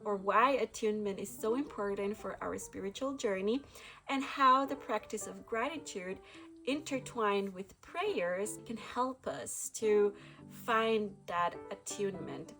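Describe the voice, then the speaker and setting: A woman's voice: medium pitch, a bit bright. Woman hosting a spiritual talk. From Guatemala. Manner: reflective, laughs often, many fillers and restarts. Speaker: spiritual channel host. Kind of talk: casual spiritual talk to camera.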